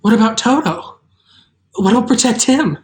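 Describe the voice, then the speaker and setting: A plucky young girl, played by a woman, pitched above a normal talking voice. She is earnest and protective, both indignant and kindly, in an audio drama read. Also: animated, unhurried.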